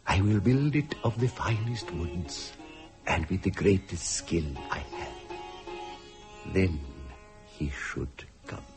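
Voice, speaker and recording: An actor playing an earnest man who solemnly and deliberately tells a family ghost tale in a radio play. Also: low-pitched, expressive, music in the background. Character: earnest man telling his great-grandfather's ghostly tale. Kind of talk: dramatic radio play storytelling.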